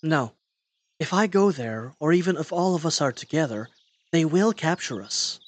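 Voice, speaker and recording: A man's voice: on the high side, expressive, normal speed, lightly acted. A man playing an earnest young spellcaster lad in an audio drama, courteous and slightly flustered. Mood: urgent. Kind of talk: audio roleplay drama acting.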